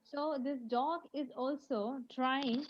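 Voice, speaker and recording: A woman's voice: high voice, steady tone. Woman teaching over a dull video call. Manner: halting, with many fillers and restarts. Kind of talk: online class teaching.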